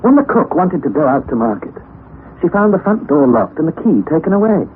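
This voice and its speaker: A young actor playing a wry, anxious nephew who narrates the story, in a 1960s radio drama on a tinny, lo-fi broadcast recording. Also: male voice, fairly high pitch, a little fast, a little hiss or hum. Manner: earnest storytelling, rising to excited outbursts.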